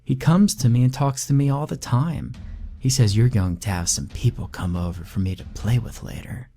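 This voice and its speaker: A man reading a horror story and voicing an eerie little girl who is sweet, childish and quietly menacing. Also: medium pitch, moderate pace, slight background noise, pitched above the performer's own voice, close to an everyday voice. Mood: sweet eager. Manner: sing-song, childish and sweetly threatening.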